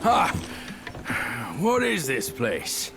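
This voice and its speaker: An actor voicing a roguish, cheeky but soft-hearted pig demon in a fantasy game, with a playful, drawling, protesting delivery. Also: male voice, sits high, wildly swooping pitch, extremely slow, music in the background, exaggerated voice. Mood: wary, joking. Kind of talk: cinematic fantasy game acting.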